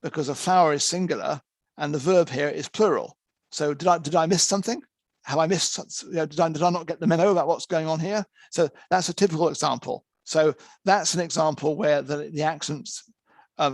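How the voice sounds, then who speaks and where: A man's voice: on the high side, fairly quick, no room sound. A native English-speaking man gives an online lecture in a slightly raised voice. He talks loosely and conversationally, repeating himself as he works through examples. The call audio is close and dry, with no room sound. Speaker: interpreting lecturer. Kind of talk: online master class lecture. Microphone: video call.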